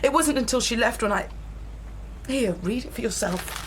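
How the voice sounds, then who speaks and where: A woman's voice: a little high, lively intonation, moderate pace, close to an everyday voice. A woman playing a blunt, sardonic and bitter partner in a naturalistic radio drama. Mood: grave, distressed. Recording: noisy ambient radio drama soundscape.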